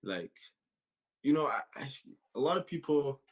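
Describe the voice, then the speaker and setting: A man's voice: mid-range voice, expressive, measured pace, breathy edge, dull audio. A man in his twenties from Canada, a podcast guest chatting casually, often repeating his phrases. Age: 20s. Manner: repeats phrases, peppers talk with "you know". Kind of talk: casual interview chat.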